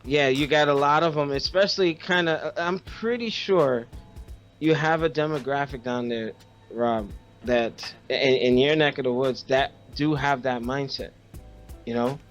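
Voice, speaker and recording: A man talks casually as a podcast co-host, at a middling pitch. He tells personal stories in a halting way, doubling back on words to stress them. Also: fairly bright tone, soft background music. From Haiti.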